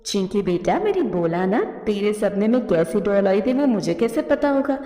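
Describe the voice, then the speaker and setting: A woman voicing a caring, worried mother crow in a cartoon story, coaxing and gently scolding. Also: mid-range voice, big pitch swings, moderate pace, close to an everyday voice. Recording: echoey reverberant studio track.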